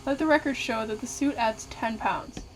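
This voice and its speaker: An actress playing a curious, courteous investigative podcaster in an audio drama, speaking conversationally. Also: female voice, on the high side, moderate pace, slight background noise, close to an everyday voice, rough recording. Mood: wry, explanatory. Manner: courteous, conversational, addresses listeners directly. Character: curious, polite female investigative podcaster among zombie fighters.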